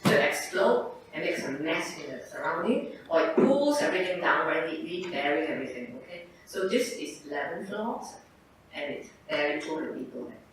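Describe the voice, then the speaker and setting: A woman's voice: low-pitched, sing-song, normal speed. An older woman from Singapore, a surgeon giving an informal lecture to medical students and checking in with "right?" and "okay?". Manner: conversational, tags points with "right?" and "okay?". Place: large echoey lecture hall. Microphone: distant room mic. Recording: echoey hall, distant mic, noisy.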